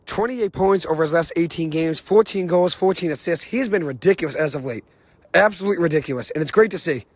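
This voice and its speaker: A young man hosting a sports podcast, rattling off stats in an excited, unscripted recap, his voice a little hoarse. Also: a little high, no echo. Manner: excited, rapid-fire stat recitation. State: hoarse, says he lost his voice. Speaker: podcast host.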